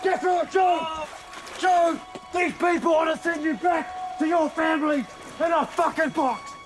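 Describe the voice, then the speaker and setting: An army instructor shouting aggressive, profane drill orders at recruits. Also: male voice, extremely high pitch, steady tone, a little slow, over music.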